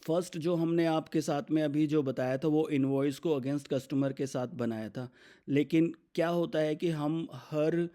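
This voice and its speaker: An Indian man gives an instructional software demo. His voice is relaxed and warm, sitting a little higher than most men's. He explains each step in turn and slips English business terms into his sentences. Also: a little slow. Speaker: software demonstrator.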